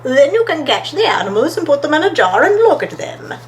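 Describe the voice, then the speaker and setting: A woman's voice: high voice, sing-song, brisk, a little hiss or hum, pitched above the performer's own voice. A woman voicing a kindly, helpful grown-up animal in a children's story, encouraging and instructive.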